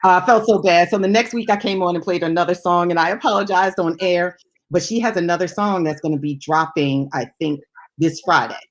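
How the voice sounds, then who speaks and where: A woman's voice: on the low side, fairly quick. A woman hosting a music show in casual chat, effusive and emphatic about what she likes. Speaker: radio show host and music DJ.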